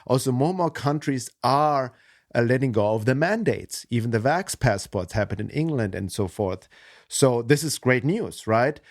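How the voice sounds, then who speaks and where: A man's voice: medium pitch, animated, normal speed. A man hosts a podcast interview in a mid-range voice, recorded with a dry sound and no room echo. He speaks in an affirming, reflective way and builds up long questions. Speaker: podcast host.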